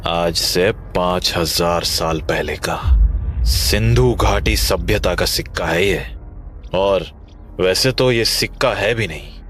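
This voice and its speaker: Calm male investigator acted by a man, horror cartoon, low-pitched and unhurried, faint noise behind. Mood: thoughtful. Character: calm, analytical male investigator of a cursed coin. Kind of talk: animated horror story dialogue.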